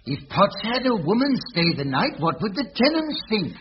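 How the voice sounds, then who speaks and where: A patronising posh agent. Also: male voice, sits high, sing-song, moderate pace. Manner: smooth, patronising, falsely helpful. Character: condescending posh male managing agent. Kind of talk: 1980s radio sitcom acting. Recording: dull old radio recording with hiss.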